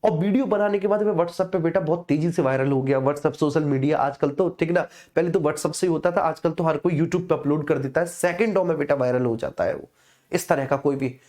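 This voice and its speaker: A woman teacher explains points to her class in an informal, didactic way. Her voice sits a little low and has a dark, relaxed tone. She repeats key phrases and keeps checking that students understand. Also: brisk. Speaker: exam coaching teacher.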